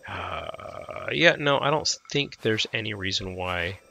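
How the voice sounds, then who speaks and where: A man's voice: on the low side, animated, slow, vocal fry, sharp tone, soft background music. A man with a lingering head cold giving casual, goofy, rambling gameplay commentary as if talking to himself. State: tail end of a head cold. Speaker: let's play host.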